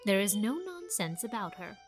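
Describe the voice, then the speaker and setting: A woman's voice: mid-range voice, sing-song, moderate pace, faint music behind, lightly acted. A woman voicing a stern, blunt older woman who speaks in a firm, emphatic way.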